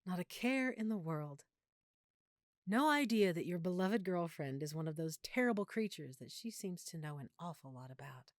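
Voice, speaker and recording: A woman's voice: fairly low pitch, lively intonation, moderate pace, no echo, clean studio sound, close to an everyday voice. A woman playing an affectionate, opinionated girlfriend who is secretly a vampire, in an intimate, conversational audio roleplay. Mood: tender, hushed, secretive. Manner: chatty, playful, geeky explaining.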